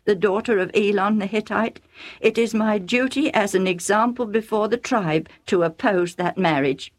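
A woman's voice: mid-range voice, normal speed, voice pushed up, natural voice. A woman voicing a stern old father.

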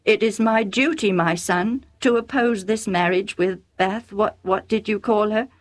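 A woman voicing a grave old sheik father who speaks slowly and solemnly. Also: mid-range voice, voice pushed up, lightly acted. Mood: stern.

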